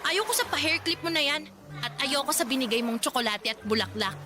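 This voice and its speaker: A woman's voice: sits high, lively intonation, a little fast, over music. A woman playing a blunt, dismissive teenage schoolgirl in a radio drama, with curt, exasperated delivery. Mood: exasperated, rejecting. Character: blunt, irritable teenage schoolgirl brushing off her suitor. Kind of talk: Tagalog radio drama acting.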